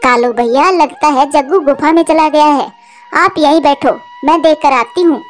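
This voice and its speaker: A woman voicing a kind, sensible mother bird, calm and reassuring, in a cartoon. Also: high voice, moderate pace, faint music behind, lightly acted. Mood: calm, concerned. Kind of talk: Hindi cartoon voice acting.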